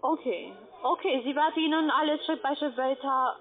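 A woman's voice: high-pitched, normal speed. A woman call centre agent with a foreign accent, not a native speaker, explaining things on the phone. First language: not German. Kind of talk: phone sales conversation. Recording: tinny phone line, busy call centre.

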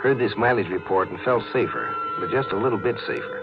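An actor narrating a 1950s science-fiction radio drama as a grave, suspenseful storyteller. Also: male voice, lowish voice, brisk, loud music behind the voice, natural voice. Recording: dull, slightly noisy 1950s radio broadcast.

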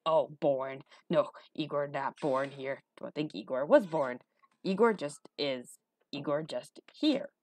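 A woman voicing an ancient male hunchbacked dungeon dweller in a storybook, with a low gravelly growl and halting, broken, childlike speech. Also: a little mellow. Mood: puzzled, simple.

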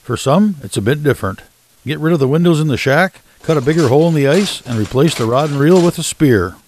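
A man doing scripted voice-over narration for an outdoors show, measured and even. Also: fairly high pitch, a little hiss or hum. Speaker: show host.

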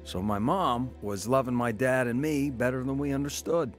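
A man acting as a grown son looking back on a crooked youth and the war. He tells it in a plain, matter-of-fact way at a middling pitch, as radio drama narration with music underneath. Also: normal speed, lightly acted. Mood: reflective. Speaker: narrator and lead actor. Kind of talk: scripted first-person radio drama narration.

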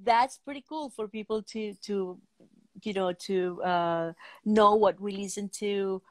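A middle-aged woman from Venezuela speaks in a casual interview. Her pitch is average and she feels her way hesitantly through sentences. The sound is close and dry, as on a video call. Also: measured pace, no room sound. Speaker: talk show host. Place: indoor room, remote video call.

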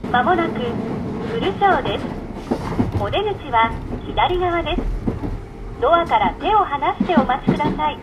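A woman's voice: expressive, measured pace, pauses often, muffled recording. A woman giving scripted, polite and formal onboard train announcements. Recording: very noisy recording inside moving train. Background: train rumble and noise.

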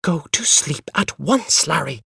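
A man voicing a gentle but firm mother in a story, patient, hushed and chiding. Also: mid-range voice, sing-song, a little slow, dry recording, clean studio sound. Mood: hushed, sharp.

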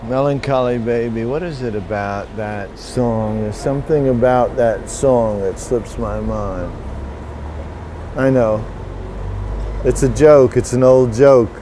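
A man playing a rambling, melancholy middle-aged poet in a theatrical monologue, halting and musing, repeating phrases to himself. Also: medium pitch, darkish tone, slight background noise, natural voice. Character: rambling, melancholy washed-up male poet, wistful and self-doubting. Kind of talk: theatrical monologue with recited verse.

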